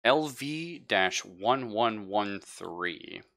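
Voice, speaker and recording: A man narrating a live tabletop roleplaying game as game master, in a halting, conversational way. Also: fairly low pitch, animated, vocal fry, fairly bright tone.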